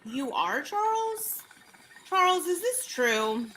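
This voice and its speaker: Woman, livestream co-host, high lively voice, laughs a lot. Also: takes it slowly, slight background noise, poor audio. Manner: giggly and chatty, laughs often. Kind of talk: casual group chat on a livestream.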